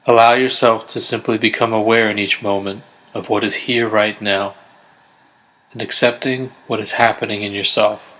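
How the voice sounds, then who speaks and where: A man leads a guided body scan meditation in a low voice. His delivery is very even and steady, with little rise or fall. He gives each instruction gently and without hurry, leaving pauses between phrases. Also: rough recording. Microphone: close USB condenser microphone.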